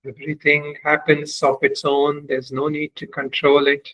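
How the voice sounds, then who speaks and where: A man leading a guided meditation as a teacher, speaking in measured, deliberate phrases with long pauses between them. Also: fairly high pitch, monotone, a bit bright, low-quality recording.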